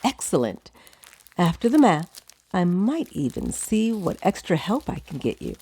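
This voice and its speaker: A woman's voice: on the low side, very animated, unhurried, warm tone, slightly rough, full character voice. A woman playing a composed, haughty wizard with a dry, formal, teasing manner in a fantasy audio drama. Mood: amused, dry. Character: wry, haughty, composed female wizard who owns a tower.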